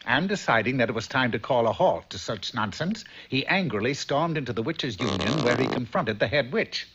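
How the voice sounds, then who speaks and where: A man's voice: mid-range voice, animated, moderate pace, a bit bright, husky. A man narrating a comic fairy tale for a cartoon in a storybook style. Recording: dull old cartoon soundtrack, faint noise.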